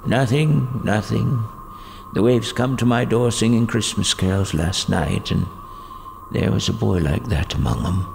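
An actor playing an elderly miser, shaken and remorseful, with a gravelly, halting voice in an audio drama. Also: male voice, fairly low pitch, a little hiss or hum. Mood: sorrowful, shaken. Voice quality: gravelly, creaky. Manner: halting, trembling, regretful. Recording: music behind the voice.

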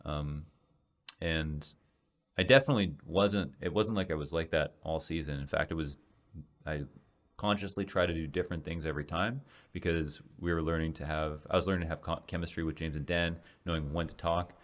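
A young man in his twenties with a deep, slightly raspy voice, hosting a casual solo podcast and rambling with many restarts. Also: fairly even intonation, fairly quick. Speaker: podcast host and esports caster. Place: small home room, indoors. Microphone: large studio mic in front of face.

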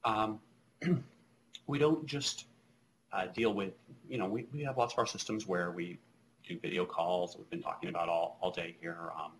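A man's voice: low-pitched, steady tone, moderate pace, fairly bright tone, a little hiss or hum. A man in his thirties giving a conference keynote over a video call from a small office, conversational with many fillers and restarts. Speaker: keynote speaker. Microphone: video call webcam.

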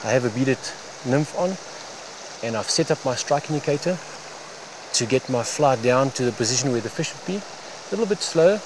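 A man giving instructional fly fishing commentary in halting, unhurried phrases. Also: medium pitch, expressive. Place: outdoors on a wooded stream bank. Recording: outdoor stream noise. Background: running water, outdoor ambience.

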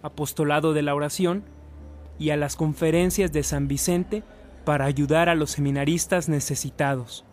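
A man's voice: a little high, steady tone, moderate pace, darkish tone, faint music behind. A man narrating a scripted radio drama.

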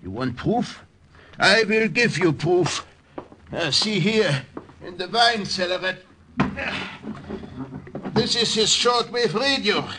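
Older Dutch uncle, trusting yet stubborn, slightly high voice, indignant emphasis, hissy vintage radio drama. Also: male voice, animated, slow, pauses often. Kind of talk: old-time radio drama acting. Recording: old radio recording with hiss.

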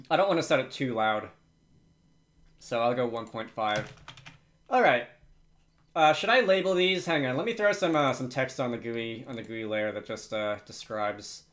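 A man's voice: mid-range voice, a bit bright, slight background noise. A man presenting a casual, unscripted screencast tutorial, hesitantly thinking aloud as he works. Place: indoors at a computer.

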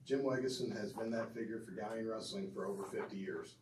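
A man's voice: on the low side, brisk. A middle-aged man gives an earnest tribute speech from a podium in a banquet room, reading from notes with fillers and restarts. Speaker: speaker inducting his father into a hall of fame. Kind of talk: prepared tribute speech with asides to the audience. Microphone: podium mic heard from a far camera. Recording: roomy and distant with faint room noise.

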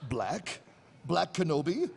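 A man's voice: on the high side, sing-song, slow pace, gravelly, a little hiss or hum, exaggerated voice. A middle-aged man voicing a bombastic, dim cartoon hero in a hammy comic table read. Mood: earnest. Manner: hammy, swooping. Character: bombastic, dim cartoon superhero playing a young farm boy. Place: convention panel stage with audience. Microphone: table microphone.

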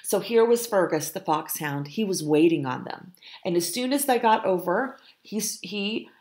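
A middle-aged woman reading a picture book aloud to children, expressive and pausing to explain. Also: fairly low pitch. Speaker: storytime reader, teacher.

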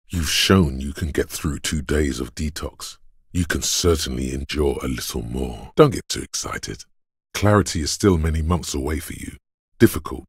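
A very deep, gravelly inner voice. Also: male voice, no echo, studio quality, heavily acted. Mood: grave, encouraging. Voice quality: gravelly, husky bass. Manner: slow, deliberate, weighty delivery. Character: stern inner voice of bodily endurance, gruff and goading. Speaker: voice actor. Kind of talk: dramatic video game narration.

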